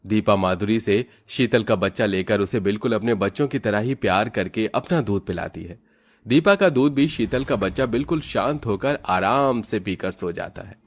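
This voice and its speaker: A man's voice: fairly low pitch, animated, moderate pace. A man narrating a scripted moral story for children as its storyteller.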